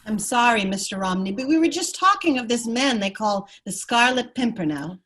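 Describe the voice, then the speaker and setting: Husky, animated society lady played by a woman. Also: on the high side, moderate pace. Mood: wistful, exasperated. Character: elegant, restless lady, neglected wife of a gentleman.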